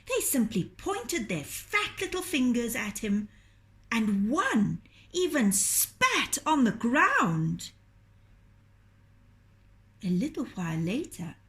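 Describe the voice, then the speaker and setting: A woman reading a children's story aloud in a gentle, deliberate, expressive storytelling manner. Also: on the high side. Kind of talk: children's storytelling, scripted narration.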